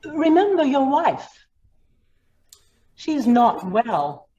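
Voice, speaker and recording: A woman's voice: on the low side, sing-song, measured pace, a little mellow, dull audio. A woman playing a ruthless, commanding lawyer in an old-time radio drama, hard and threatening in manner. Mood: coolly menacing. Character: ruthless, commanding female lawyer blackmailing a judge.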